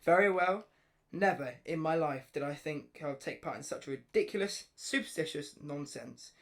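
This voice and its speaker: A man's voice: fairly high pitch, animated, normal speed, vocal fry, a bit bright. A scornful city military man in a radio drama, acted by a man. He talks down to everyone in a pompous, impatient way and has no time for superstition. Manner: pompous, condescending. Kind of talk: radio play acting.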